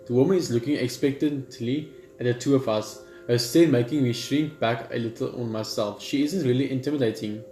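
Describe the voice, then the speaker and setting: A man hosting a gaming video reads on-screen story text aloud, haltingly, repeating words and adding casual asides. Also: mid-range voice, mellow, slight background noise. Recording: echoey room with faint game music. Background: game music.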